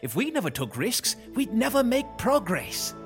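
An actor playing an eccentric, reckless wizard mentor who laughs often and speaks grandly. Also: male voice, sits high, wildly swooping pitch, normal speed, fairly bright tone, faint music behind, full character voice. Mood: gleeful. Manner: theatrical, giggly, grandiose. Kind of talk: fantasy audio drama acting.

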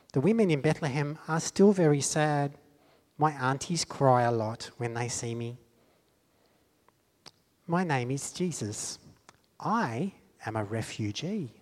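A man's voice: mid-range voice, slow pace, pauses often, darkish tone, in a large hall, voice pushed up, close to an everyday voice. A man doing an earnest young refugee boy. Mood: wistful. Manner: simple, earnest storybook telling.

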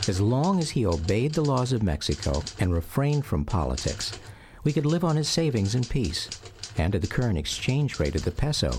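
A man's voice: on the low side, background noise. An American man narrating his family's history in a scripted radio documentary drama. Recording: music behind the voice.

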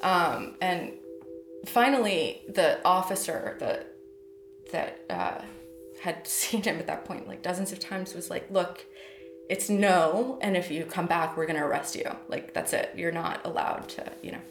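Woman, 30s, poet; low, gravelly voice, reflective and halting, roomy sound. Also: music in the background. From United States. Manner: thoughtful, hesitant, with many restarts. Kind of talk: reflective personal interview.

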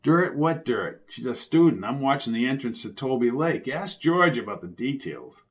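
A man's voice: medium pitch, expressive, moderate pace, lightly acted. A man voicing a blunt, gray-haired male police officer.

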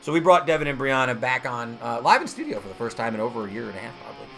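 Bright-voiced chatty podcast host. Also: male voice, medium pitch, very animated, very fast, faint music behind, poor audio. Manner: chatty, laughing, lots of "uh" and "like". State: losing his voice. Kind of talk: casual chat.

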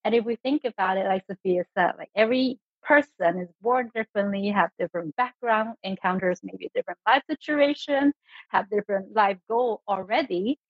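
A woman's voice: mid-range voice, moderate pace, no echo, highs cut off. A woman from China speaking as a panel guest in a thoughtful, conversational way. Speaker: panel guest, product design lead. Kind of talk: reflective panel talk.

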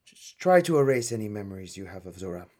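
An actor playing a stern older army colonel in a radio play, measured and authoritative, cold and guarded. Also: male voice, lowish voice, very animated, a little mellow.

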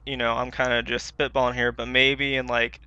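Male podcast co-host, crisp bright voice, casual and conversational, dry slightly muffled recording. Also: medium pitch, flat delivery, a little fast, no room sound. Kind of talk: casual chat about video games.

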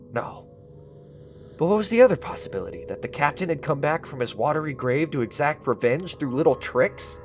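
A guilty schemer acted by a man confesses in an old-style radio thriller. His low voice is nervous and dramatic, full of paranoid suspense. Music plays underneath. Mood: alarmed.

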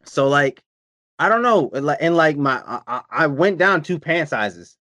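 A man's voice: a little high, expressive, normal speed, sharp tone, dry recording, studio quality. A man chatting casually about his diet and workouts, rambling and profane, often repeating himself. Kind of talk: casual chat.

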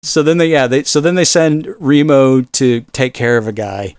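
A man's voice: medium pitch, animated, moderate pace, darkish tone, clear, resonant tone, no echo. A man co-hosting a film podcast in a casual, rambling, exasperated way, swearing freely. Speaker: podcast host.

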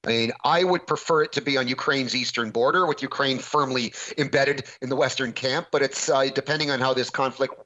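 A man's voice: mid-range voice, a little fast, few pauses, bright, forceful tone, lo-fi sound. A man hosting a panel discussion, asking questions and giving his view in a conversational way. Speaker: host.

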